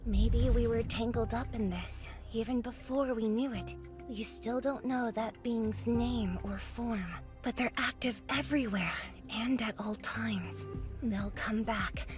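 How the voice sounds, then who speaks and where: A woman acting as an earnest young female hunter in a video game, high-voiced. She sounds alert and eager. Music plays clearly behind her. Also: unhurried, close to an everyday voice. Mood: serious, resolute.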